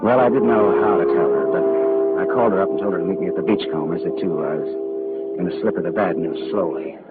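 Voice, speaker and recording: Guy playing tough wry private eye, american, really low voice, tinny old radio drama. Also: fast talker, close to an everyday voice. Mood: wry narration. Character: hard-boiled male private detective, wry, decent, world-weary. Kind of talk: 1940s radio drama acting and first-person narration. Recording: tinny 1940s radio broadcast, music.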